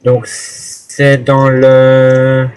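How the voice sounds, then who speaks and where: A man's voice: mid-range voice, monotone, extremely slow, a little mellow, clear, resonant tone. A man making an improvised phone call as a hotel guest, speaking in a hesitant, rambling way. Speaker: prank caller posing as a hotel guest. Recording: noisy phone call with clicks.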